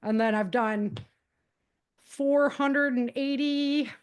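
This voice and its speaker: A woman with an American accent speaking casually as a guest author, telling the story of her own novels in an animated, conversational way. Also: sits high, takes it slowly.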